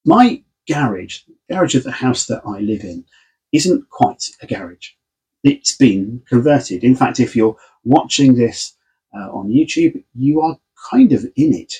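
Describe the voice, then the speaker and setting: A congested pastor speaking in an easy, conversational way from a small home study. His voice is very husky and mellow, and he is plainly a bit under the weather. The delivery stays friendly and informal. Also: mid-range voice, animated, a little slow, rough recording. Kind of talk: casual sermon and Bible reading.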